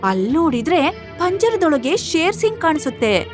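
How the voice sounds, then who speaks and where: A woman narrating an animal story in an animated storytelling style. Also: sits high, normal speed, music in the background.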